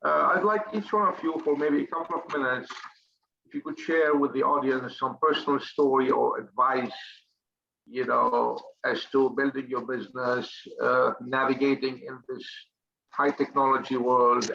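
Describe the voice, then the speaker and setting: A man's voice: mid-range voice, fairly even intonation, normal speed, creaky, sharp tone. A middle-aged man moderating a webinar panel, speaking hesitantly with many fillers. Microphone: webinar call microphone. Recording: dull lo-fi call audio with faint hiss.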